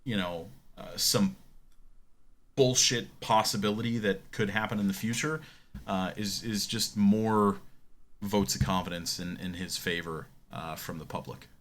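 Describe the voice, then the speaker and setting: Bright-voiced man with a lowish pitch. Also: unhurried. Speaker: podcast co-host. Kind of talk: casual gaming tech discussion.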